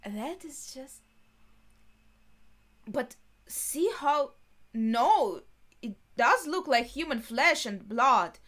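A young adult woman giving spontaneous reaction commentary, thinking aloud in short, halting remarks. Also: sits high, lively intonation, fairly bright tone. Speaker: anime reaction vlogger. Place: small bedroom, indoors.

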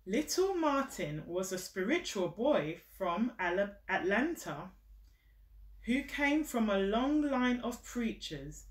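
A woman's voice: mid-range voice, sing-song, takes it slowly. A woman in her thirties reading a picture book aloud to a child, encouraging and explaining things simply. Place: living room sofa at home, indoors. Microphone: camera a step or two away. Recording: echoey room, distant mic.

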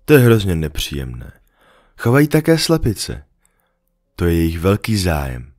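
A man reading a storybook, voicing a lonely, patient female fox in a soft, earnest, coaxing way. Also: deep voice, huge pitch swings, dry recording, clean studio sound, exaggerated voice. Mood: earnest, wistful.

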